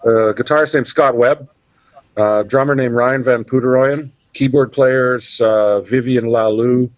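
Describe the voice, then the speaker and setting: A man's voice: mid-range voice, steady tone, unhurried, no room sound. A Canadian man, a podcast guest, chatting casually and rambling with many fillers. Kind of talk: casual interview chat. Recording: dull, dry sound.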